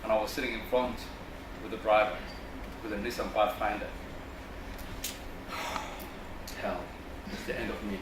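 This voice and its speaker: A man from Zambia telling a personal anecdote to a group in measured, short phrases. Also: lowish voice, steady tone. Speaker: workshop participant. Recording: distant, echoey room with noise.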